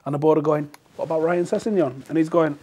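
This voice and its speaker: A man's voice: on the high side, fairly even intonation, a crowd in the background. A man on a football panel giving his opinions in casual chat. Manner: quick, opinionated.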